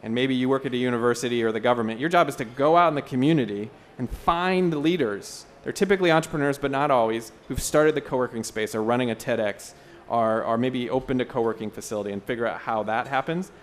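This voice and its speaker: A man gives an articulate, explanatory panel answer at a conference. His voice sits at an average pitch and he talks in a measured way, with some noise around him. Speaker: panel speaker from an entrepreneurship foundation. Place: conference session room.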